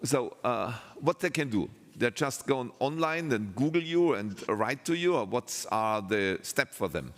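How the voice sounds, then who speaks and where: Male stage host, mid-pitched, upbeat and loose in phrasing, long hall reverb. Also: lively intonation, unhurried, few pauses. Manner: loose non-native phrasing, upbeat thanks. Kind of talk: live stage interview.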